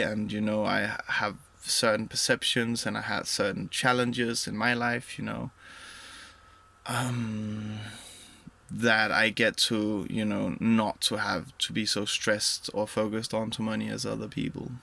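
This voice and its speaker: Slow, gravelly-voiced man from Denmark. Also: on the low side, pushed and bright. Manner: hesitant, many fillers and trailing pauses. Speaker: vlogger. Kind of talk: rambling reflective monologue.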